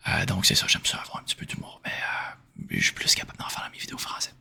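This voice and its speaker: A man from Quebec with a Québécois French accent, speaking in a soft, breathy whisper as he informally answers questions in an ASMR style. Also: fast. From Quebec, Canada. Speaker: ASMR video creator answering viewer questions.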